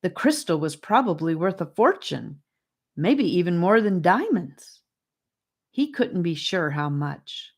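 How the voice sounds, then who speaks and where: Slow, lower-pitched woman reading a storybook. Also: big pitch swings, a little mellow. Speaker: audiobook narrator. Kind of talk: scripted storybook narration.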